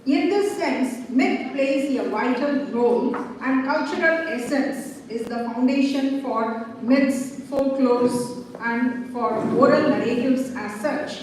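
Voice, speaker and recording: High-voiced woman lecturing in a hall. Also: a little hiss or hum. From Tamil Nadu, India. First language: Tamil. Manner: formal, measured lecturing with pauses. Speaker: conference speaker. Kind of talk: academic seminar presentation. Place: large seminar hall. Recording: echoey hall heard from far off.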